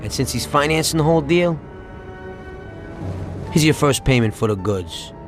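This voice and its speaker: A man's voice: on the high side, expressive, moderate pace, over music, natural voice. A man playing a confident, businesslike mobster in a video game cutscene. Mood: businesslike, friendly. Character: confident, businesslike male mobster running a smuggling deal.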